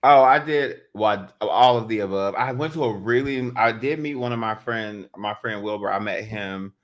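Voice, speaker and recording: Low-voiced man in casual podcast chat. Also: moderate pace. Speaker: podcast co-host.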